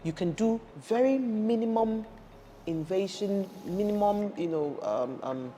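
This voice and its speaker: A woman's voice: medium pitch, expressive, a little slow, dark tone. A woman in her thirties from Ghana, raised in Nigeria, telling her career story as an interview guest, with lots of restarts and fillers. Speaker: guest, energy industry professional. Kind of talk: conversational interview. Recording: reverberant room with faint noise.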